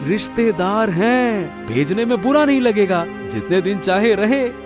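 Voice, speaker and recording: A man voicing a good-hearted, sentimental farmer husband in a Hindi cartoon moral story, expressive and full of exclamations. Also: sits high, unhurried, over music. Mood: easygoing.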